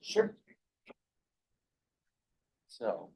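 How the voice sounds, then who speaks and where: A man's voice: very slow, mellow. A man from a state revenue department making hesitant, self-deprecating remarks at a meeting. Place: large meeting room. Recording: echoey room, distant mic, dull sound.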